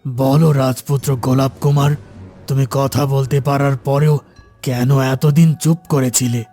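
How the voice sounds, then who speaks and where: Man voicing a stern, dark-toned anxious king. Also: mid-range voice, level tone, normal speed, soft background music, lightly acted. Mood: stern, demanding. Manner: commanding, dramatic, exclaiming. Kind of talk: animated fairy-tale cartoon dubbing.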